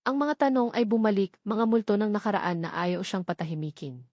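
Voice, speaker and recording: Woman, audiobook narrator, scripted dramatic storytelling, measured phrasing, mid-range pitch, close dry recording. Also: darkish tone, dry recording.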